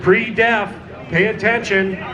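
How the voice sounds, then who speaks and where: High-voiced auctioneer chanting over a crowd. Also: male voice, steady tone. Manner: fast bid chant, coaxing the crowd. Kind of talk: auction calling. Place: outdoor farm auction. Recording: muffled loudspeaker over a crowd.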